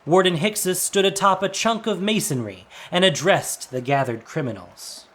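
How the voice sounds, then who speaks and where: A man's voice: high voice, moderate pace, a bit bright. A man narrating a fantasy audio drama as a scripted, dramatic storyteller.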